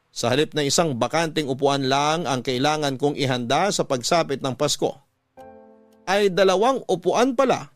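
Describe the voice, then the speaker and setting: A man reading a heartfelt first-person story as a radio-style narrator, with sentimental, measured phrasing. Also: on the high side. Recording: music bed under the voice.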